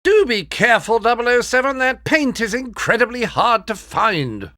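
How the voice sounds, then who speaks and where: Casting: exasperated British spy quartermaster for a video game, very high bright voice, scolding and pompous. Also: male voice, big pitch swings, moderate pace, no room sound, clean studio sound, full character voice.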